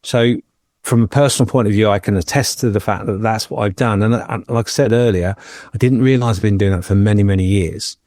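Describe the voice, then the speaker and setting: A man's voice: fairly low pitch, darkish tone, no room sound, studio quality. A man in his fifties from England, talking on a podcast and telling personal stories with a joking, anecdotal touch. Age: 50s. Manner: jokey, anecdotal storyteller. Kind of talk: casual chat, personal storytelling.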